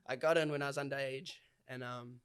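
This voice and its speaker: A man's voice: medium pitch, fairly even intonation, a little fast, a bit bright, clean tone. A man with a New Zealand accent talking casually and informally, with plenty of slang, as a guest in a conversation. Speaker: podcast guest, hip-hop artist.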